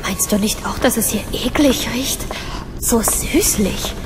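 A woman's voice: a little high, measured pace, background noise, lightly acted. A young actress playing a sceptical, sarcastic young mother in naturalistic audio drama dialogue. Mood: uneasy. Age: young adult. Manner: dry, teasing sarcasm. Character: sceptical, sarcastic young mother apartment-hunting with her boyfriend. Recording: studio audio drama with music underneath.

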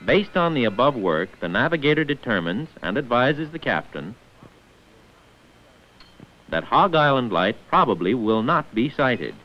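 A man narrating a scripted 1940s instructional film in a formal, precise manner, on an old muffled film soundtrack. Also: mid-range voice. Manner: formal, precise enunciation of figures.

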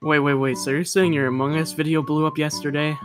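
A man's voice: a little high, flat delivery, brisk. A young man improvising comedic anime dubs with friends in a profane, exclamatory way. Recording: music behind the voice.

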